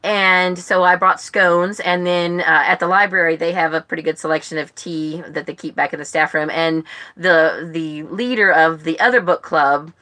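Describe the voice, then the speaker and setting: Casting: woman, bright forceful tone on the lower side, chatty rambling livestream host, informal delivery. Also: steady tone, normal speed. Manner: rambling, self-interrupting, chatty. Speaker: book club host and livestream presenter. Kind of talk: casual livestream chat to viewers. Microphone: phone.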